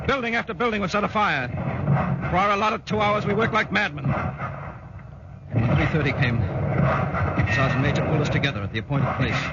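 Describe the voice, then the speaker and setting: A man's voice: a little high, a little fast, pauses often, close to an everyday voice. Man playing a grave commando narrator, noisy old radio. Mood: stirring, intense. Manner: grave, clipped, declamatory. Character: sombre commando veteran recounting a deadly raid. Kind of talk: dramatic 1940s radio narration. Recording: muffled, very noisy 1940s radio recording.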